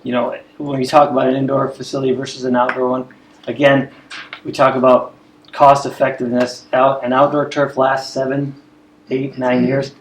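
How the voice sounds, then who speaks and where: A man's voice: mid-range voice, monotone, normal speed. A man on a school's athletics staff speaking earnestly at a committee meeting without a microphone, often repeating words as he builds his points. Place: meeting room. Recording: roomy meeting room, faint background hum.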